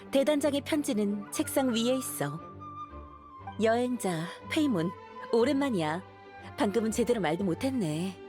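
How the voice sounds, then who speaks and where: An actress plays a dutiful young woman who commands knights in an anime game. Her voice is somewhat high, composed and authoritative, with music clearly playing behind it. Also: close to an everyday voice. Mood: gentle, sincere. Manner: formal, earnest, authoritative.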